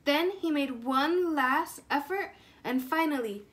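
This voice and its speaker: A woman's voice: sits high, slow pace, a bit bright. A woman in her twenties reading a children's story aloud with expression. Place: room at home, indoors.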